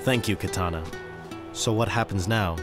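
Game hero, male actor: weary young martial artist, respectful, lowish voice, light score. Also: moderate pace, music in the background, close to an everyday voice. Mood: earnest. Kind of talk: video game cutscene acting.

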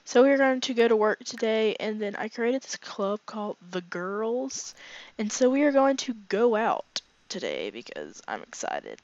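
Brief: young female game host, hoarse and raspy from a cold, rambling filler-laden commentary, slightly muffled home mic. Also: a little high, sing-song, moderate pace, a little mellow, no echo. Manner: rambling, filler-heavy, chatty. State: hoarse, voice fading from illness. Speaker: gaming channel host. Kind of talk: casual let's play commentary. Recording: slightly dull lo-fi home recording.

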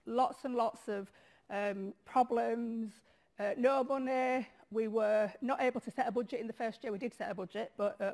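A woman's voice: fairly high pitch, creaky. A middle-aged woman from Leeds with a Leeds accent, a charity chief executive speaking frankly and with self-deprecating humor at a lectern in a large conference hall. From Leeds, England. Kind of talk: conference keynote talk.

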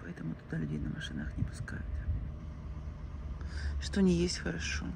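An older woman chatting casually for a vlog, musing in unhurried short phrases, recorded on a handheld phone. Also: lowish voice, wildly swooping pitch, gravelly, background noise. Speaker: travel vlogger. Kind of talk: casual first-person vlog chat. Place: outdoors by the shore, in a car, in a cafe.

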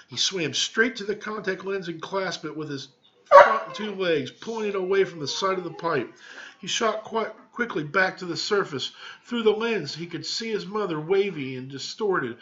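A man's voice: sits high, a bit bright. Middle-aged guy reading a kids book to students, slightly muffled webcam mic, explains words casually. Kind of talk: read-aloud storytelling with word explanations. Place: small home room with cabinets, indoors. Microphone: webcam microphone at arm's length. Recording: dull webcam microphone sound.

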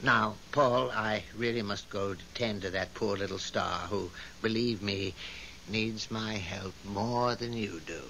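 An actor playing a weary, godlike overseer of the stars in a 1970s radio drama, scolding and long-suffering in a theatrical way. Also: male voice, lowish voice, a little slow, gravelly, bright, forceful tone, full character voice, rough recording. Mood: self-pitying. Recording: hissy 1970s radio broadcast recording.

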